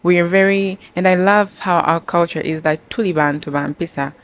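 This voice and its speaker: A woman's voice: lowish voice, moderate pace. A young woman answers interview questions as a pageant winner, switching languages casually and telling stories with acted-out dialogue. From Uganda. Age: young adult. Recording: clearly noisy, with ambient background sound.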